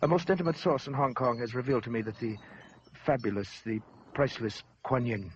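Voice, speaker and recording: An actor playing a silky, sinister collector. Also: male voice, mid-range voice, fairly quick, a little hiss or hum, lightly acted. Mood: silky, mocking. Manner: florid, mocking formal speech. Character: sinister suave fat collector, man, middle-aged. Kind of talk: 1940s radio acting. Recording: lo-fi old radio recording.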